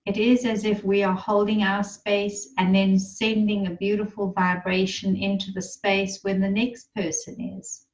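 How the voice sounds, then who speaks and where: A woman leading a guided meditation in a soothing, unhurried manner, with gentle instructions and pauses. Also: mid-range voice, little pitch movement, recorded from a distance, low-quality recording.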